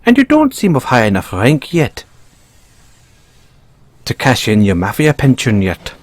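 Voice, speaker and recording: A man with an Irish accent voicing a sly, playfully menacing bunny mechanic who is a mafia boss, in an intimate, teasing, unhurried audio roleplay style. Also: mid-range voice, huge pitch swings, no room sound. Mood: probing, menacing. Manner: teasing, unhurried, coaxing with tag questions.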